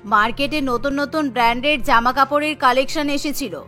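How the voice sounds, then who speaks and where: A vain, spoiled mother-in-law from a cartoon, voiced by a woman, high and bright. She talks down to everyone, haughty and dismissive. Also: brisk, soft background music. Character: vain spoiled middle-aged mother-in-law who loves shopping.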